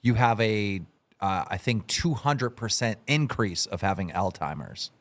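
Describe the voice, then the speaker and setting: A man's voice: fairly low pitch, lively intonation, a little slow. A man hosting a livestream, casual and bossy, giving orders to his co-hosts. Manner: bossy and impatient. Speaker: livestream host.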